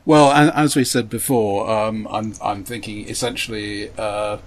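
A man's voice: lowish voice, fairly even intonation, unhurried. A man chatting casually as a player, easygoing and wry. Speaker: podcast co-host and player. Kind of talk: casual chat.

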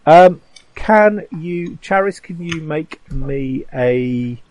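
A man running a tabletop game as game master, talking casually in a halting, rambling way. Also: fairly high pitch, lively intonation, a little mellow.

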